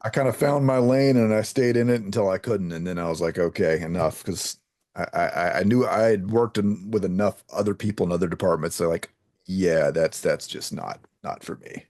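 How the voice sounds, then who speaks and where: Low-voiced man, casual and halting. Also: darkish tone, no echo, clean studio sound. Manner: halting, repeats words as he thinks. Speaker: interview host. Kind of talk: casual chat.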